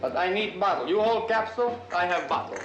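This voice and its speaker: A rowdy joker. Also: male voice, high voice, animated, fairly quick, muffled recording. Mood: boisterous. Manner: broken English, laughing, loud. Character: boisterous Russian man Igor, playful and romantic. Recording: roomy sound with loud music behind.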